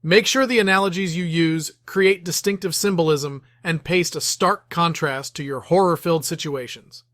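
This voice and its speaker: Male tutorial host, slightly high voice, dry close sound, measured scripted delivery. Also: a bit bright, no echo. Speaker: host of writing tips series. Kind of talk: scripted instructional narration.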